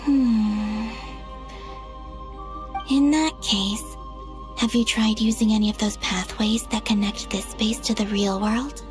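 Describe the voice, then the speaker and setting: A woman voicing a serene, earnest young moon goddess in an anime game, soft-spoken and measured. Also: medium pitch, steady tone, over music, lightly acted. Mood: calm, inquiring. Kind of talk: anime game cutscene acting.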